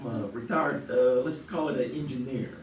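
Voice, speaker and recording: A laconic, deadpan retired tough guy, as a man plays him, giving short, evasive answers. He is heard from well back on a theater stage, with echo and a faint hiss. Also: mid-range voice, moderate pace, far from the mic, lightly acted. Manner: terse, dry, deadpan. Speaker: game show contestant. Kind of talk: old-time radio stage acting. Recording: echoey live theater recording from afar.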